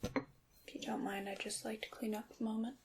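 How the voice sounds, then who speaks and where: A woman playing a courteous witch shopkeeper in an ASMR roleplay, whispering softly and breathily with formal, polite, old-fashioned wording. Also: fairly high pitch, little pitch movement, moderate pace, gravelly, noisy background. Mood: gracious, calm. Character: courteous witch shopkeeper selling handmade magical wares.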